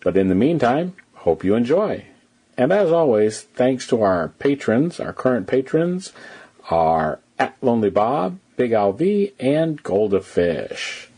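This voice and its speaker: Man, solo podcast host, mid-range pitch, casual chat, hesitant and off-the-cuff. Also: big pitch swings, measured pace, mellow, husky.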